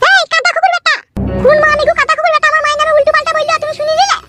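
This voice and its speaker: A piercingly high, bossy young village wife. She snaps and nags in a hot-tempered cartoon manner, very fast, with music behind her. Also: steady tone. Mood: angry. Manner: sharp, snapping, nagging. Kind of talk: Bengali cartoon acting.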